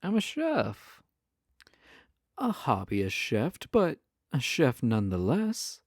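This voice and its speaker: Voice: medium pitch, wildly swooping pitch, slow, no echo, studio quality, full character voice. A man playing a flirty, playful, obsessive yandere spouse in an intimate audio roleplay, teasing the listener with suggestive lines and whispered asides.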